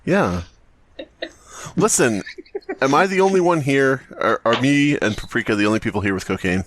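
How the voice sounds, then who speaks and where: A man's voice: mid-range voice, lively intonation, moderate pace, fairly bright tone, slight background noise. A man hosting a podcast in casual, joking group chat. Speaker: podcast host.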